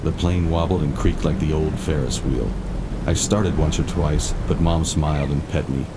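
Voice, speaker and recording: A man acting as a brooding narrator, telling a haunted childhood memory in dramatic, measured, scripted style. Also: a bass voice, fairly even intonation, heavy background noise. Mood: somber, haunted. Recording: loud music behind.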